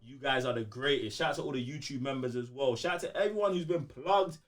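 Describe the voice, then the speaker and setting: A man's voice: medium pitch, quick pace. A London-accented man co-hosting a gaming podcast with slangy, emphatic banter, often repeating his phrases. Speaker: podcast co-host.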